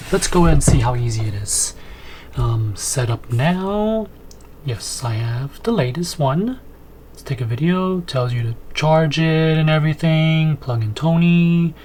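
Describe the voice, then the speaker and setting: A man's voice: mid-range voice, lively intonation, moderate pace, a little mellow, a little hiss or hum. A man in his thirties giving casual, conversational unboxing and setup commentary as he thinks aloud in a room at home. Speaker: video host, product reviewer. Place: small home room, indoors.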